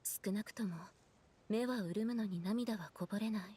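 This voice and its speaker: An actress playing a solemn, gentle elder sister in a fantasy game, speaking in a measured, grave way. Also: female voice, medium pitch, breathy edge, close to an everyday voice. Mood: solemn, resigned. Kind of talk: Japanese game voice acting.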